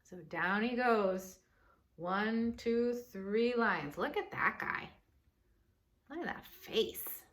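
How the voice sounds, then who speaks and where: Woman, children's story reader, unhurried delivery, bright tone, expressive, dramatic pauses, chatty asides. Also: on the high side. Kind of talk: storybook read-aloud to children.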